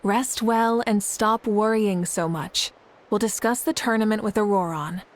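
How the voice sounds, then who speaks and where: A woman playing a calm, confident and reassuring young female leader in a video game. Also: medium pitch, measured pace, lightly acted. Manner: reassuring, composed. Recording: game music clearly behind the voice.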